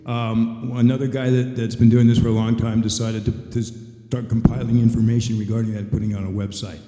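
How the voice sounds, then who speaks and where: Dark-voiced older man in an echoey hall. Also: medium pitch, flat delivery, brisk. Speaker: veteran voice actor on a convention panel. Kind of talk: conversational advice to an audience. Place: convention panel room. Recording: echoey hall, long reverb.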